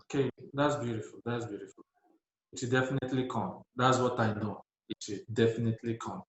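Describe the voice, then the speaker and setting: A man's voice: mid-range voice, a little fast. A man from Nigeria hosting a video call panel, upbeat and encouraging, often laughing. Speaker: host. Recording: roomy echo.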